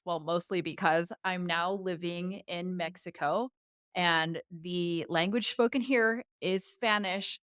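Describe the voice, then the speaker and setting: Slow-spoken woman in her forties. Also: lowish voice, a bit bright, clear, resonant tone, no room sound, studio quality. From United States. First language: Tagalog. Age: 40s. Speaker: vlogger. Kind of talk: casual personal talk to camera.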